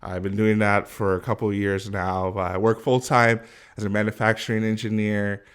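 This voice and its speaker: A man in his twenties, a guest on a casual podcast, who speaks in a matter-of-fact, steady way. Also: fairly low pitch, normal speed, smooth. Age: 20s.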